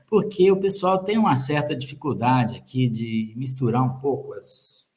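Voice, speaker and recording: A man's voice: mid-range voice, takes it slowly. Brazilian male guest, sales manager; playful, metaphor-heavy talker, mid-range voice. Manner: joking, fond of metaphors and sayings. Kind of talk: conversational interview.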